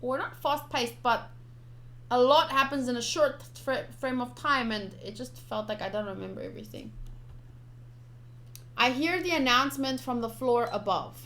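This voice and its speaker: A woman's voice: high-pitched, moderate pace, pushed and bright. A young woman streaming a game, informal and chatty, switching between casual commentary and reading aloud.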